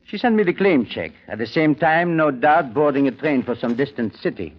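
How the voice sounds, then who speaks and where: A man's voice: medium pitch, expressive, moderate pace. A man playing a pompous, courtly French crook with a French accent and hesitant, mock-polite menace in vintage radio drama. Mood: oily, polite. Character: suave, menacing French crook, pompously proclaiming his sincerity. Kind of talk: 1940s-50s radio drama acting. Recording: muffled vintage radio broadcast.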